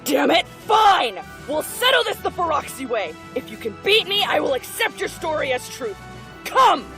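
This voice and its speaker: A woman's voice: sits high, big pitch swings, moderate pace, bright, forceful tone, full character voice. A woman acting a fierce, proud knight warden in an audio drama, bold and brash in her delivery. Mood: furious, defiant. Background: music.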